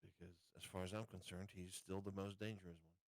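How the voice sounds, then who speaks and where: A man's voice: deep voice, flat delivery, fairly quick, dry recording. A man talking casually as a player at a tabletop roleplaying game.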